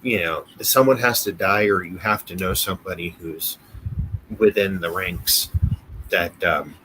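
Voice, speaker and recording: A man co-hosting a podcast in casual conversation, speaking haltingly and repeating his phrases. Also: sits low, background noise. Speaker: podcast co-host. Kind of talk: casual chat. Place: at home, family elsewhere in the house.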